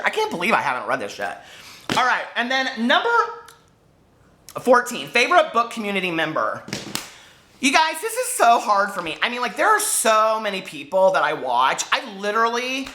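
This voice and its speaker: A man in his forties chatting casually and excitably to viewers as a book vlogger, gushing and a little campy. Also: high voice. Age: 40s. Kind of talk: casual chat to viewers, book tag video.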